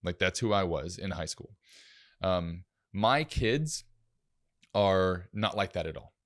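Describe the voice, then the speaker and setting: A man's voice: low voice, slow pace, a bit bright. A man co-hosting a casual talk show, speaking in a reflective way with frequent restarts. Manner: reflective, many fillers and restarts. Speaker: podcast co-host. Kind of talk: casual chat.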